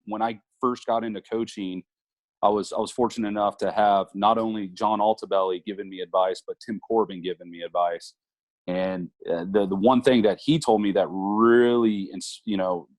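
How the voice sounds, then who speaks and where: A man's voice: lowish voice, darkish tone, no room sound. A man in his thirties, a college baseball coach, talking earnestly in an interview with many fillers and restarts. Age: 30s. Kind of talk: conversational interview.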